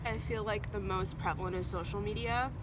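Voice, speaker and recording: A woman's voice: medium pitch, steady tone, a little fast, very noisy. A young woman from Connecticut, an art student, giving thoughtful, hedged interview answers. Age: young adult. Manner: thoughtful, hedging with "I think".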